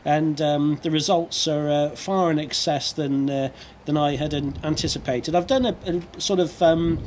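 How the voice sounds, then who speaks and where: Casting: British male radio hobbyist, fairly high-pitched, hesitant and chatty, recorded outside with some background noise. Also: fairly even intonation, moderate pace, darkish tone. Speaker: amateur radio operator. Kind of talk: casual radio contact and outdoor video commentary. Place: outdoors on a seaside rooftop. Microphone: video camera near the speaker. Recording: outdoor rooftop with clear background noise.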